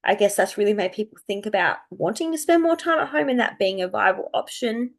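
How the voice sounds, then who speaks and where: A woman, slightly croaky from a cold, chatting casually and rambling as she thinks aloud to her viewers. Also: medium pitch, expressive, fairly quick. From Australia. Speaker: astrology video host. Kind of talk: casual unscripted chat to viewers.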